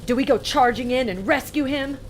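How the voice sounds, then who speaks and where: An actress playing a teasing, spirited agent sidekick in an audio drama. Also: female voice, high voice, brisk, a little hiss or hum, lightly acted, poor audio. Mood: keen.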